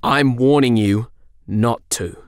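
An actor playing a brusque, bitter angel in an audio drama, speaking in a blunt, curt way. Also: male voice, medium pitch, takes it slowly, clean tone, no room sound, studio quality, natural voice. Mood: insistent, harsh. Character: brusque, bitter male angel, blunt bearer of bad news.

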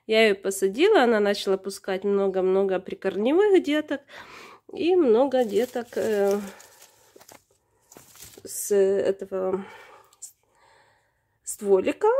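A woman with a fairly high, mellow voice talks casually through a home video. She sounds slightly hoarse, as if under the weather, and pauses mid-sentence as she searches for words. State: slightly hoarse from being unwell. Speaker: plant vlogger. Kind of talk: casual unscripted narration of own video. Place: indoors, room in a flat. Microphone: handheld camera or phone, behind the camera.

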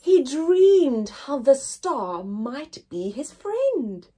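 Young female storyteller for a kids' read-aloud, high animated voice with a touch of husk, dramatic emphasis and pauses. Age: young adult. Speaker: children's story reader. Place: indoors, small room with painted backdrop.

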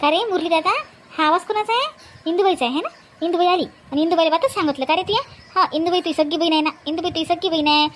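A woman's voice: very high-pitched, very fast, a bit bright, slight background noise. A woman playing an aggrieved married sister in a comedy cartoon, accusing and repetitive, with a Vidarbha Marathi accent. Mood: hurt, accusing. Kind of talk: exaggerated cartoon family comedy.

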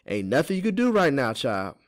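A kindly older cook and herbalist, acted by a man, speaks in a raised pitch. She is an enslaved woman in a storybook, gentle and earnest, with a folksy way of telling things. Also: very animated, fairly quick, lightly acted. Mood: firm, gentle.

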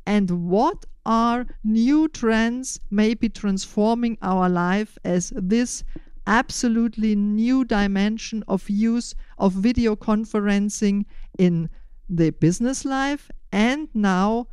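An older woman with a German accent speaking deliberately and in measured phrases as a podcast host, giving prepared interview answers. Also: mid-range voice, darkish tone, smooth, no room sound, studio quality.

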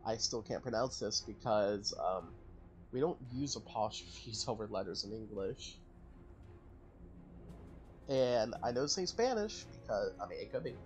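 A man's voice: fairly low pitch, lively intonation, a little slow, darkish tone, soft background music. A man casually commenting on his strategy game, thinking aloud and correcting himself as he goes. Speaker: gaming channel host. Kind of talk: casual let's-play commentary.

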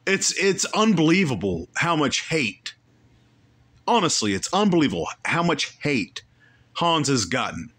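Male podcast host, opinionated rambler, very lively pitch. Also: unhurried, slightly rough. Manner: rambling, opinionated, self-correcting. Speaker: podcast host reacting to a clip. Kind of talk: casual commentary.

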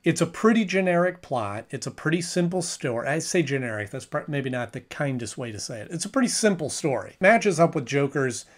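A man's voice: a little high, lively intonation, fairly quick, few pauses. Casual man reviewing to camera. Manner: conversational, with frequent restarts and hedging. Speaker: show reviewer. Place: small room at home with shelves of collectibles.

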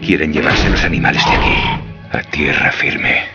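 A man's voice: a bass voice, moderate pace, muffled recording, loud music behind the voice. An actor playing a worried, exasperated father in an adventure game, with a dry, scolding manner. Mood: grim.

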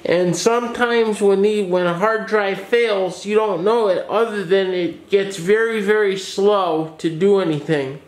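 A man with a fairly high voice talks slowly and steadily through a casual update from his computer shop. He explains each repair in plain terms, now and then restarting a sentence. His voice rings a little in an echoey small room. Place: small office workshop with desk, indoors.

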